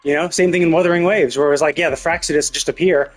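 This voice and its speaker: A man with a husky voice giving an opinionated, emphatic, sweary rant as a game streamer in casual commentary. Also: fairly high pitch, steady tone, fast, soft background music.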